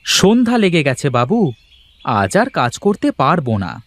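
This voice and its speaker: A man's voice: fairly high pitch, huge pitch swings, moderate pace. A man voicing a stubborn, plain-spoken farm labourer who respectfully protests to his employer in a cartoon folk tale. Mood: protesting.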